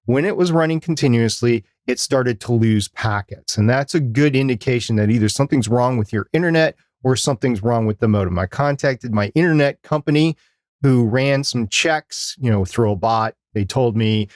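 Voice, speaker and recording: A man's voice: mid-range voice, normal speed, no room sound, clean studio sound. A man co-hosting a podcast in casual talk, explaining things step by step in a chatty, storytelling way. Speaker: podcast co-host.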